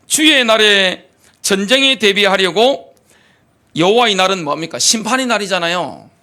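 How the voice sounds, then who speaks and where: A man's voice: high voice, sharp tone. A middle-aged man preaching a sermon as pastor of a church, emphatic, asking the congregation rhetorical questions. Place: church sanctuary.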